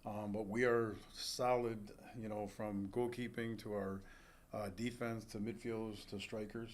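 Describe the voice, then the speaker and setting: A middle-aged man, a college soccer coach, answering in a measured way with fillers in a studio. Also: on the low side, level tone. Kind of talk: casual interview answers.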